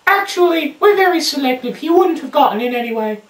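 A woman's voice: high-pitched, lively intonation, a little fast. A woman playing a prim, haughty female saint in a comic stage play. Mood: prim, curt. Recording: echoey room, distant microphone.

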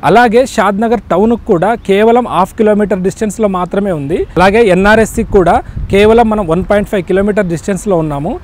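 A middle-aged man with a Telugu accent giving a sales pitch to camera as a company chairman, recorded outdoors. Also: fast talker. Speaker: company chairman promoting his venture. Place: outdoors on an open plot site by a highway. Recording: noisy outdoor location sound.

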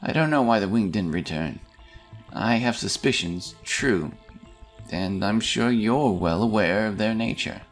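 A man's voice: fairly low pitch, unhurried, faint music behind, voice pushed up. A man voicing a small, nervous, pleading army captain in a fantasy audiobook, whining and deferential to his superior. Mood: apologetic.

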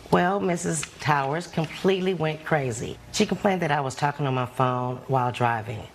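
A low-voiced woman testifies as the plaintiff and insists on her innocence. She speaks defensively and denies the claims against her. Faint courtroom ambience sits behind her. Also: normal speed, slight background noise. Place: TV courtroom set.

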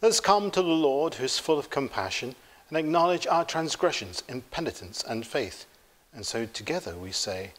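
A middle-aged man leading worship as a priest, praying in a solemn, formal and measured way. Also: medium pitch. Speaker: priest leading a church service. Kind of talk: solemn liturgical prayer. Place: inside a church, at the altar. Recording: reverberant church interior.

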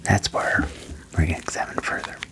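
A young man whispering gently into a close microphone in an ASMR medical roleplay, with short reassuring phrases. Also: brisk. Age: young adult. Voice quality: whispered, breathy. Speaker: ASMR performer playing an alien doctor. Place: small wood-panelled room indoors. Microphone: close microphone with furry windscreen.

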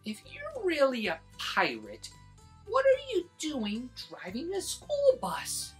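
A man's voice: very high-pitched, huge pitch swings, slow, fairly bright tone, soft background music, voice pushed up, exaggerated voice. A man voicing small, anxious but plucky kindergarten children in a storybook. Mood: curious.

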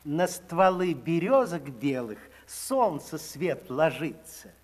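A man narrating a children's fairy tale in verse with an expressive storybook delivery, on an old 1960s record. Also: fairly high pitch, slow. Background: orchestral music.

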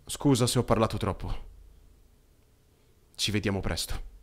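A man's voice: fairly low pitch, measured pace, lightly acted. A man voicing a timid, apologetic young male wolf student in an anime fan dub, hesitant and stammering. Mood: subdued, sincere.

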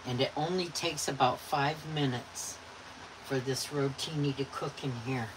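A chatty woman shows her viewers around as she cooks at home. She talks into a phone camera propped on the kitchen counter, and a loud hiss of background noise runs under her voice. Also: a bass voice, fairly even intonation, unhurried. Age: 60s. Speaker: cooking vlogger. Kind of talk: casual cooking demo.

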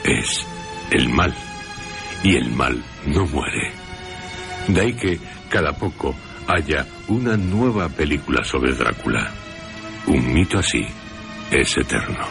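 An extremely deep male voice hosts and narrates a radio drama. He speaks solemnly, leaving deliberate pauses. Music plays clearly behind him. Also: big pitch swings.

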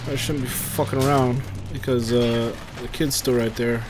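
A man's voice: medium pitch, fairly even intonation, moderate pace. A man giving casual gameplay commentary, rambling and muttering to himself with offhand swearing. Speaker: gamer, let's-play commentator. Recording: gunfire and game noise behind voice. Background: war video game sounds, gunfire.

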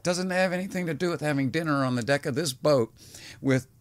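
A man's voice: fairly high pitch, fairly quick, no echo. An older man talking as a podcast guest in a rambling, earnest way, often restarting his sentences. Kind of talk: casual conversation.

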